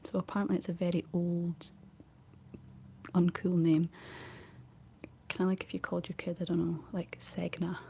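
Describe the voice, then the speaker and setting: A Scottish woman whispering a rambling, chatty ASMR talk in a breathy voice, laughing often as she goes. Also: fairly low pitch, level tone, normal speed, long pauses, mellow, a little hiss or hum. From Scotland. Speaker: ASMR creator.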